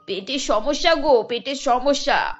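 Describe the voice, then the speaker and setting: A woman's voice: sits high, measured pace. A woman voicing a scheming older mother-in-law in a cartoon, wheedling and complaining dramatically. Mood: sly, mock worried. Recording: dull audio over background music.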